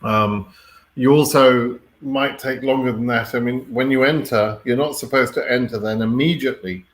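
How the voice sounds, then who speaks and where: A man's voice: medium pitch, steady tone, normal speed. Blunt British man hosting a live Q&A. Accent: British English. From Britain. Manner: blunt, wry, mildly exasperated, sweary, checks in with "right?". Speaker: host of a live question-and-answer stream. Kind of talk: informal live Q&A, reading and answering viewer questions.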